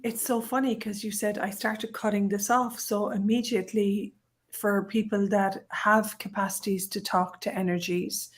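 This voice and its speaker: Earnest woman in mid pitch. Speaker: facilitator on a panel. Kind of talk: casual panel chat.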